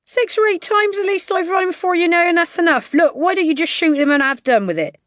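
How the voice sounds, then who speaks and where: A woman voicing a brisk, dismissive male dog-race organiser who talks curtly and loudly in a storybook reading. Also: extremely high pitch, animated, no echo, studio quality, voice pushed up. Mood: impatient. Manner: curt, impatient, loud exclamations.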